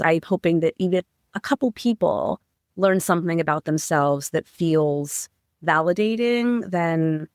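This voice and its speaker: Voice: medium pitch, vocal fry, dry recording, studio quality. A middle-aged psychotherapist and professor answering interview questions earnestly, often restarting words and adding "like" and "right?". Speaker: psychotherapist and professor, podcast guest.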